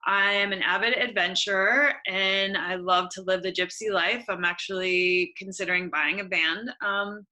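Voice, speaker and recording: A woman hosting an online workshop over a video call, chatty and relaxed with casual fillers. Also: mid-range voice, moderate pace, hardly any pauses, poor audio. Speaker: workshop host.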